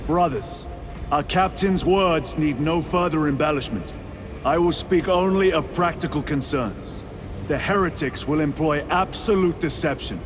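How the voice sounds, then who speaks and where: A man's voice: on the high side, a little slow, noisy background, natural voice. An actor voicing a stern male warrior officer who briefs his squad in clipped, commanding sentences.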